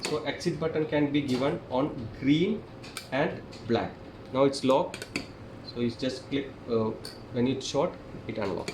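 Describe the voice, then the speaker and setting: A man who is a non-native English speaker gives a hands-on technical demonstration, explaining step by step in a halting, repetitive way. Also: medium pitch. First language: not English. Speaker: tutorial presenter, installer. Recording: echoey room, clearly noisy.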